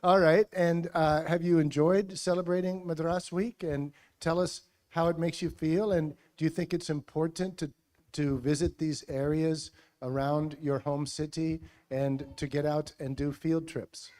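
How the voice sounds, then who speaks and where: A man hosting a school assembly, asking students brisk, encouraging follow-up questions on a handheld microphone. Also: fairly high pitch, steady tone, a little mellow. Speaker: school assembly host and teacher.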